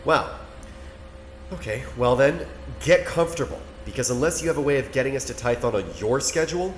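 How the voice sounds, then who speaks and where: An actor playing a gruff, blunt ship captain with a sarcastic edge. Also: male voice, mid-range voice, a little fast, a little hiss or hum, lightly acted. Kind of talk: fan audio drama acting.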